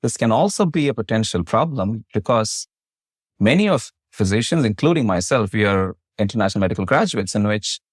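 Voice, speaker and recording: Male doctor host, English not his first language, careful formal questioner, low voice, dry close sound. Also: big pitch swings, brisk, dry recording. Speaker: host, physician. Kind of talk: podcast interview, asking questions.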